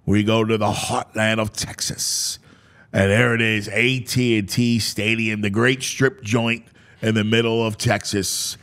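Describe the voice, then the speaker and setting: A man's voice: fairly low pitch, flat delivery, a little slow, fairly bright tone, clear, resonant tone. An American man hosting a sports talk show, breaking down a schedule with theatrical, announcer-like proclamations and casual asides. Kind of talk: dramatic, animated schedule breakdown.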